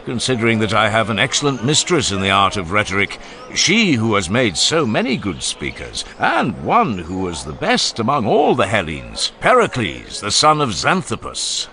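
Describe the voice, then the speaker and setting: Socrates, a wry old philosopher. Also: male voice, on the low side, huge pitch swings, normal speed, pushed and bright, heavily acted. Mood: ironic. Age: older. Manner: ironic, teasing, expansive. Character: Socrates, older male philosopher, wry and ironic. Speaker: voice actor. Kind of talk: dramatized audiobook reading.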